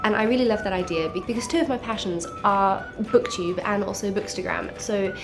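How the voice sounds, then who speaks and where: A woman with a mixed South African and English accent, stuffy and nasal from a cold, chatting enthusiastically about books to camera. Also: medium pitch. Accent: mix of South African and English. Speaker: book vlogger. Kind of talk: casual book chat to camera.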